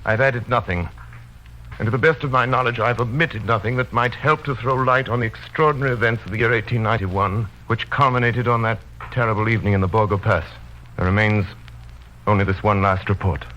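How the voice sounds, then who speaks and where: An actor playing a formal narrator who presents evidence like an advocate, declamatory, in 1930s radio style. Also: male voice, on the low side, fairly quick, pushed and bright, a little hiss or hum. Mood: grave, insistent. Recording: dull old radio, faint music behind.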